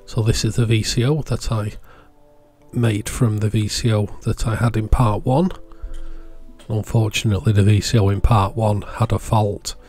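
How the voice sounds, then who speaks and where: A man's voice: fairly low pitch, level tone, normal speed, darkish tone, faint music behind. A man giving a casual, plain-spoken voice-over, explaining hobby electronics as the channel's presenter. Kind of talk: casual product showcase voice-over.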